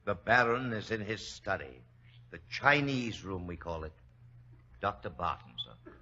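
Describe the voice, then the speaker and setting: A stiff, deferential butler in an old radio play. The voice is deep and measured, under muffled sound with steady noise. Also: male voice, full character voice. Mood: formal. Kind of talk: 1940s radio drama acting.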